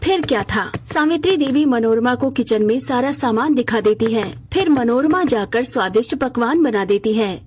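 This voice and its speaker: A woman's voice: a little high, animated, normal speed, few pauses, slight background noise. A woman narrating a scripted moral story as its storyteller.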